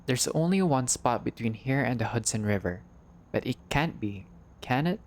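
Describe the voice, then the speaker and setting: A man with a mid-pitched voice narrates a visual novel playthrough. He reads the text like a storyteller and drops in short, casual asides. Clear background noise and ambience sit behind his voice. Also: lively intonation, moderate pace, a little mellow. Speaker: gaming channel player reading a visual novel aloud. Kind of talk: playthrough narration, reading game text aloud.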